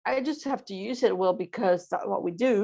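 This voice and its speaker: A woman's voice: mid-range voice, fairly quick, creaky, dark tone. A woman hosting a podcast, chatting casually and acting out what people say. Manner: chatty, laughing, mimics people. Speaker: podcast host. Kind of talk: casual interview chat.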